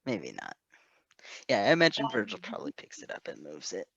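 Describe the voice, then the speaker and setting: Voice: deep voice, huge pitch swings, fairly quick, gravelly, a bit bright, husky, no room sound, muffled recording. A co-host chatting casually in a giggly, agreeable way while reacting to a story. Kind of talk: casual chat.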